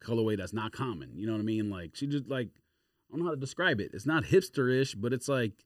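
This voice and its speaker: A man's voice: medium pitch, lively intonation, fast talker, dry recording, studio quality. A man co-hosting a podcast in casual chat, rambling as he searches for the right words. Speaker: sneaker podcast host.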